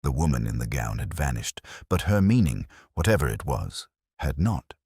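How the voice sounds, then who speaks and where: Brief: male narrator, very low voice with expressive swings, measured and brooding, for scripted detective fiction. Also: slightly rough, no room sound, clean studio sound. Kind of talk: scripted first-person detective story narration.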